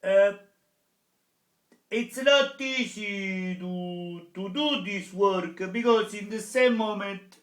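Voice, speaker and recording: A man's voice: sits high, a bit bright, echoey room. A man with an Italian accent, a professor lecturing in English with frequent hesitant fillers. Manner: hesitant, many pauses and fillers. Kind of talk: public lecture.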